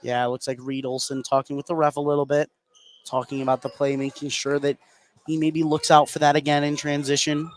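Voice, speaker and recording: A man doing live play-by-play basketball commentary on radio, getting excited on big plays. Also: fairly high pitch, fairly quick. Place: indoor school basketball gym. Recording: noisy gym ambience behind.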